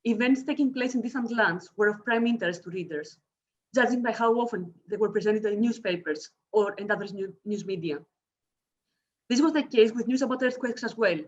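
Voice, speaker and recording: A woman with a Greek accent presenting an academic paper over a video call, talking fast with hesitant fillers and self-corrections. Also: medium pitch, muffled recording. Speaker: conference speaker.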